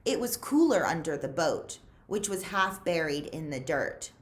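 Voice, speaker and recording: Female storyteller, slow and deliberate pace, highly varied pitch, expressive read-aloud for children. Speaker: librarian reading aloud to children. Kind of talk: expressive read-aloud storytelling, pausing to ask listeners questions.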